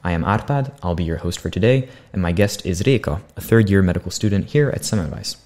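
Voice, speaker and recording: A man's voice: low voice, expressive, fast, darkish tone. A young man hosting a student podcast, politely reading prepared questions into a studio condenser mic. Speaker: podcast host. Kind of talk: scripted interview hosting. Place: small bright studio room. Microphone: studio condenser mic on boom arm.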